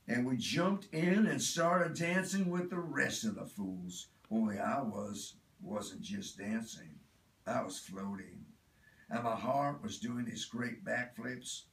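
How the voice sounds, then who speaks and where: A man's voice: fairly low pitch, creaky. A man performing a dramatic monologue as an earnest, chatty, self-absorbed do-gooder, speaking in a confiding, emphatic way. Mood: delighted. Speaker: author reading own work. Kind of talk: dramatic first-person monologue reading. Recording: roomy, distant mic, faint noise.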